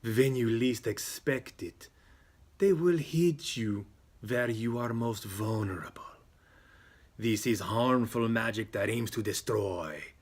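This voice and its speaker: Slow, portentous male fortune teller acted out by a man, sly and grasping, tabletop game story, music underneath. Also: lowish voice, slightly rough, exaggerated voice. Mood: grave, portentous. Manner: slow, dramatic, portentous delivery.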